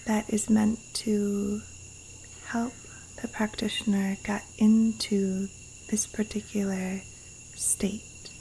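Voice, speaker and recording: Very slow, hushed woman guiding meditation. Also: mid-range voice, flat delivery, rough recording. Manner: hushed, breathy, soothing delivery with long pauses. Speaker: yoga nidra teacher guiding a meditation. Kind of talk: guided meditation. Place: outdoors in a Costa Rican jungle. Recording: faint rainforest insect and cricket noise. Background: insects and rainforest wildlife sounds.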